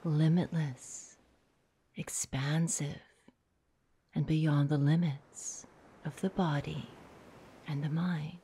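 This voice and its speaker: A woman guides a meditation in a soft, breathy half-whisper, soothing and unhurried, leaving long pauses between short phrases. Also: sits low, steady tone.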